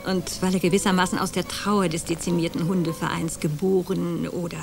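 A composed, ironic woman who lays out her case with quiet menace. Her voice sits a little low and stays calm and precise. Music runs under this radio play. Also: a little fast, creaky. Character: cool, ironic woman accusing two men.

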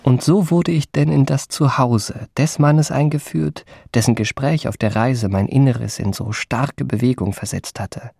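An actor playing a cultivated confidence man who recounts his youthful adventures in ornate, deliberate literary narration for a radio drama. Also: medium pitch, creaky, mellow, slightly rough, no echo, studio quality. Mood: enthralled, reminiscing. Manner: ornate, elaborate, deliberate phrasing.

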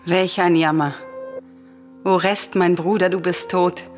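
A woman's voice: on the low side, fairly even intonation, unhurried, natural voice. Woman playing a lamenting princess in long reverb. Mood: bitter grief. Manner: anguished lamenting. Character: grieving, embittered young princess, defiant daughter. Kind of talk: classical Greek tragedy radio play, declaimed. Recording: long hall reverb, music behind.

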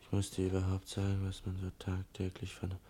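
An actor playing an earnest, troubled son who confides haltingly in an absent mother, in a German radio play. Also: monotone, dark tone, natural voice. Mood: troubled, musing. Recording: old radio recording with rumbling noise.

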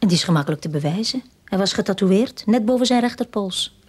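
A woman's voice: mid-range voice, animated, fast, husky. A woman acting an anxious, insistent wife who seeks help in a radio play. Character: anxious, insistent wife convinced her husband is alive. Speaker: actor in a radio drama.

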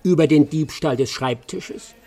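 An actor in a German radio drama playing a self-assured English master detective, brusque, precise and dry. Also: male voice, fairly high pitch, a little slow, a little mellow. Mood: coolly confident.

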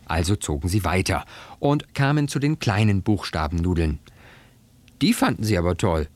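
A man reading a children's story aloud in an expressive, scripted storytelling style. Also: deep voice, normal speed. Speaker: story reader.

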